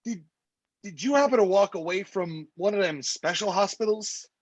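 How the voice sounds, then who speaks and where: An actor playing a cowardly, wisecracking husband and crook who stammers nervously and indignantly in a comic noir staged reading. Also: male voice, high voice, normal speed, slightly rough, no room sound, highs cut off.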